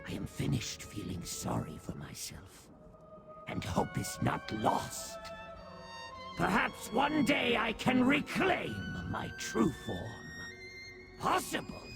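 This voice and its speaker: A small, cursed, whiskered beast from a fantasy game, fiercely loyal and hungry for glory. The voice is heavily creaky and swoops wildly through grand, pompous pronouncements at a slow, savoring pace. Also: male voice, very high voice, wildly swooping pitch, music in the background, heavily acted. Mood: resolute, hopeful. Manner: theatrical, pompous, archaic formal diction. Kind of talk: video game character acting.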